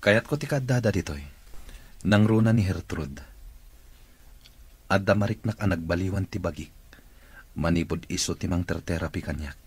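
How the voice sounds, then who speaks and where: Deep-voiced, solemn Ilocano boss. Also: male voice, moderate pace, pauses often, breathy edge, no echo. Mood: serious. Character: earnest male boss recovering through therapy, grateful and solemn. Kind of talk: Ilocano radio drama acting.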